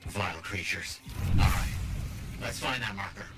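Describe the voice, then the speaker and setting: A man's voice: sits low, level tone, normal speed, lightly acted. A man voicing an earnest, intent scholarly researcher in a video game, with precise, formal phrasing. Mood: intent, explanatory. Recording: loud music behind the voice. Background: game music.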